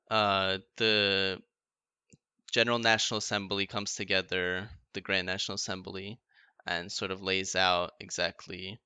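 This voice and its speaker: A man's voice: deep voice, sharp tone, clear, resonant tone, no echo. A young man co-hosting a podcast, casually explaining history with lots of fillers. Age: young adult. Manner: explains events, loose with fillers. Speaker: podcast co-host.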